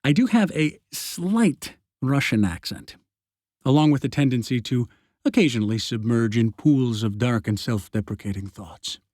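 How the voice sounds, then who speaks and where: A man's voice: medium pitch, animated, normal speed, a little mellow, dry recording, clean studio sound. Wry man narrating an audiobook. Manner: wry, sarcastic, conversational. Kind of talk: first-person audiobook narration.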